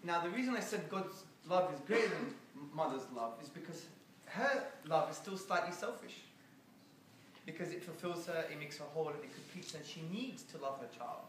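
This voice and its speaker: A man answering audience questions after a lecture, animated and joking with the crowd. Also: fairly high pitch, moderate pace, heavy reverb, far from the mic, slight background noise, poor audio. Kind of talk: public lecture Q&A.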